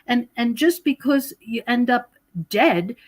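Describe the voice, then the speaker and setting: A woman's voice: on the high side, slow. A middle-aged or older woman speaking as an interview guest, explaining spiritual teachings in an earnest, conversational way. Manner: earnest, explanatory, quotes scripture. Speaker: podcast guest, author and researcher.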